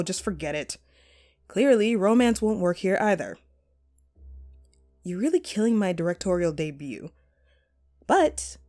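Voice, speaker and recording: Slow, swooping vengeful actress villainess voiced by a woman. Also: mid-range voice, dark tone. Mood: exasperated, mocking. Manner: mocking, theatrical, menacingly playful. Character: vengeful jilted actress, a sadistic hostage-taker playing director. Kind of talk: dramatic horror audio roleplay.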